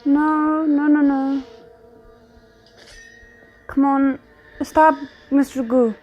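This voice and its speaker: A woman host reacts to a drama in a casual, expressive way. Her voice sits a little high, and she talks slowly, thinking aloud in fragments. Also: muffled recording, faint music behind.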